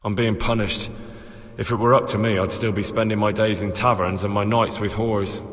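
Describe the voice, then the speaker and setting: A man's voice: fairly low pitch, fairly even intonation, moderate pace, a bit bright, close to an everyday voice. An actor playing a blunt, worldly man who is a reluctant novice, talking in a casual, offhand game-dialogue style. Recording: reverberant hall.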